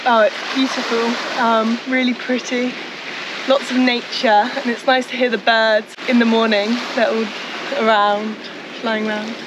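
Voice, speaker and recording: A young woman from England answering questions on camera on a palm-covered island, soft and unhurried. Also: a little high, level tone, background noise.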